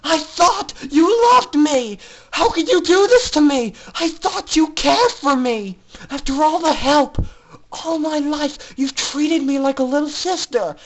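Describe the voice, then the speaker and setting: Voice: sits high, pitched above the performer's own voice, poor audio. A performer voicing a heartbroken young pony, a little sister who wails and pleads tearfully in a dramatic story reading. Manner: wailing, shouting, tearful.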